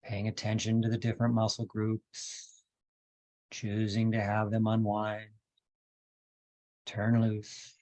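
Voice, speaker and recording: A man leading a guided meditation as a teacher, speaking in calm, deliberate, measured phrases with long pauses. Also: on the low side, rough recording.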